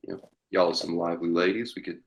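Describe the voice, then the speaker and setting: A man acting a very deep-voiced Western outlaw captain. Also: flat delivery, fast, vocal fry. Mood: persuasive. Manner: folksy cowboy talk. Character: tough Western outlaw captain recruiting a posse. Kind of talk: western table read.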